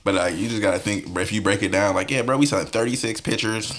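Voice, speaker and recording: Laid-back low-voiced man, casual slang. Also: fast talker, very noisy. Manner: laid-back slangy talk, laughs into his points. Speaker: podcast co-host. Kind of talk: casual chat.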